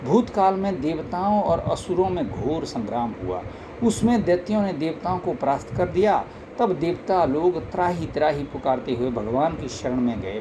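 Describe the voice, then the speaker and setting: Man reading scripture over noticeable background noise. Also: fairly high pitch. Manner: formal recitation with occasional stumbles and repeated words. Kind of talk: devotional scripture reading, narrated from text. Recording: noisy amateur recording with steady background noise.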